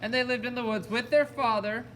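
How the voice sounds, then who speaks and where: Someone telling a kids puppet show story, on the low side, music playing clearly behind. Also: steady tone, a little fast. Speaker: storyteller narrator. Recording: loud music alongside the voice.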